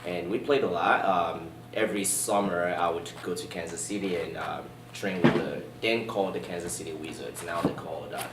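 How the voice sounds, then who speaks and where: A middle-aged man with a mixed Nigerian and British accent, telling his story as an interview guest in a relaxed, easygoing way, with many fillers. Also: sits low, fairly quick, hardly any pauses, background noise. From born in Boston, raised in Nigeria. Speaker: gym owner, guest. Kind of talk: casual interview. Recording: roomy distant sound in a gym.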